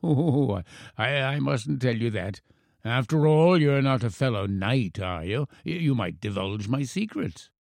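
A man voicing a frail, eloquent English professor in his eighties, formal, halting and playfully teasing, in a storybook reading. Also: medium pitch, lively intonation, gravelly, darkish tone, dry recording. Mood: playfully secretive. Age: 80s. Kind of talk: storybook character voice.

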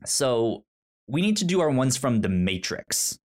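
A man co-hosts a casual film review podcast. His pitch moves around a great deal as he talks. He speaks haltingly, often stumbling over and repeating his words. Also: big pitch swings, darkish tone. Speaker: podcast co-host.

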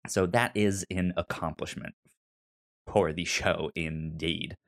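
A man's voice: deep voice, fairly even intonation, takes it slowly. A man hosting a casual podcast discussion, stammering and often repeating sounds and words as he talks. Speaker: podcast host.

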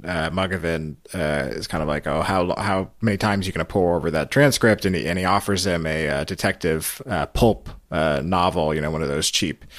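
A man with a low voice hosts a recap podcast. He talks through the story conversationally, recorded close and dry. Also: no room sound. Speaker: podcast host. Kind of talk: conversational recap of a radio show.

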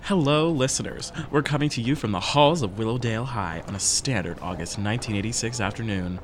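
A man playing a cheeky, excitable teenage boy with a theatrical, teasing manner in a fiction podcast. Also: medium pitch, a little fast, slight background noise, close to an everyday voice. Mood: mock radio announcer. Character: excitable, cheeky teenage boy, loud loyal best friend. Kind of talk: audio fiction podcast acting.

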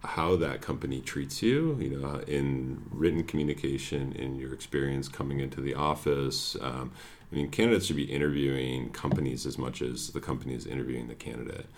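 A man, a talent acquisition director, giving relaxed, casual interview answers full of "like" and "you know". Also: very deep voice, brisk.

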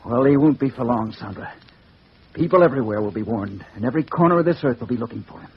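An actor playing a defiant, earnest hero in old radio drama, speaking emphatically. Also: male voice, medium pitch, expressive, fast talker, slightly rough. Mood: grave, resolute. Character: defiant, earnest male hero confronting evil. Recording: muffled old radio recording, hiss.

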